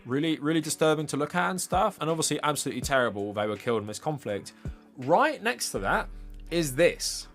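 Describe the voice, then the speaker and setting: A man's voice: on the high side, sing-song, brisk, a bit bright, faint music behind. A man with an English accent giving informal, opinionated political commentary as a livestream presenter, speaking in a conversational, emphatic way.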